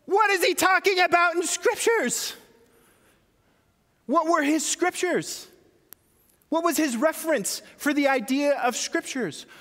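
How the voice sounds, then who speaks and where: A man's voice: extremely high pitch, lively intonation, measured pace, pauses often, sharp tone, long reverb tail. A man preaching a sermon as pastor, talking conversationally and putting questions to his congregation.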